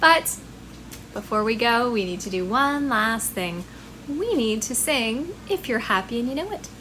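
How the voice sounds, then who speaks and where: A woman's voice: a little high, lively intonation, measured pace, a bit bright, a little hiss or hum, poor audio. A woman hosting a children's library storytime, reading rhymes aloud expressively and addressing small children in a friendly, sing-song way. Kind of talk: expressive read-aloud of a rhyming picture book for young children.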